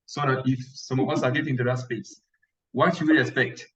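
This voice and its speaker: A man's voice: mid-range voice, steady tone, brisk, a bit bright. A man hosting an online talk, enthusiastic and often checking in with "right?" as he questions a guest on a video call. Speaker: host. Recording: muffled, distant call audio.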